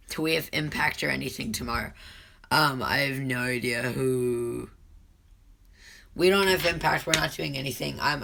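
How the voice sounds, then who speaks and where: A man hosting his own live stream while sick with a cold, his voice deeper than usual, rambling and answering chat with lots of ums. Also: vocal fry, sharp tone. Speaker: livestream host.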